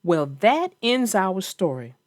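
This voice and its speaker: Animated woman storyteller, dry close sound. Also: medium pitch, slow, no echo. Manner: theatrical, addresses young readers directly. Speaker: children's story narrator and host. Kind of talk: expressive read-aloud and chat for young children.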